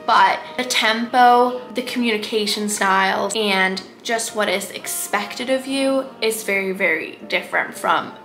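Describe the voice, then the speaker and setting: A woman's voice: medium pitch, a little slow, few pauses, a bit bright, rough recording. Chatty young woman vlogging. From Sweden. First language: Swedish. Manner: chatty and candid, lots of "like". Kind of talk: casual talk to camera. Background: background music.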